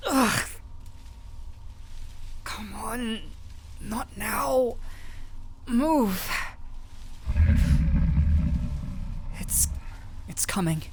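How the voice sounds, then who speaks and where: A woman playing a gentle, desperate doctor in a fantasy audio roleplay, with halting, emotive, breathy delivery. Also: on the high side, slight background noise, exaggerated voice. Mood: strained, alarmed. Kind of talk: dramatic audio roleplay acting.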